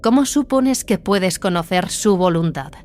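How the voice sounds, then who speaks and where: A woman's voice: mid-range voice, expressive, unhurried, a little mellow, lightly acted. A woman playing a wary, commanding warrior nun in a science fiction audio drama, serious and guarded. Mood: wary, challenging. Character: wary, commanding female battle sister squad leader.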